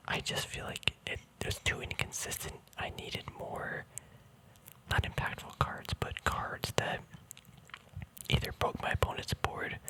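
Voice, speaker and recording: Guy whispering asmr style, breathy and chill, just rambling along thinking out loud. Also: rough recording. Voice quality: soft breathy unvoiced whisper. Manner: relaxed, unhurried thinking aloud. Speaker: ASMR video creator. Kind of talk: whispered ASMR, casual rambling card showcase.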